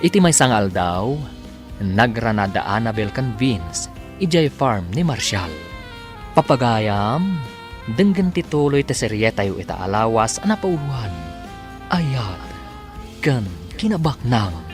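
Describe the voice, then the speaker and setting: A man's voice: mid-range voice, big pitch swings, measured pace. A man with a Filipino accent announcing and narrating a radio drama in dramatic scripted style. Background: music bed.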